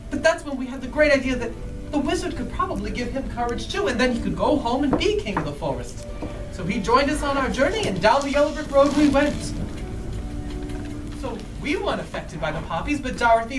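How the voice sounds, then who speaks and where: A woman playing a friendly, eager Scarecrow who tells a story live to children and asks them questions. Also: high voice. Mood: excited, playful. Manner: animated, asks the listeners questions. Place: indoors in a bookstore. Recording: roomy live event, distant microphone.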